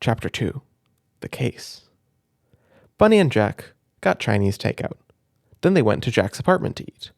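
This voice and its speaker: A gentle man with a creaky, fry-edged voice reads a children's story as a doting big brother in an ASMR roleplay. His pitch swings playfully, and he sits close to the mic in a very dry space. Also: sing-song, moderate pace, pauses often, dry recording. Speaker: audio roleplay performer playing a caring big brother. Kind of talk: soft ASMR roleplay, reading a children's book aloud. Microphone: close microphone, dry room.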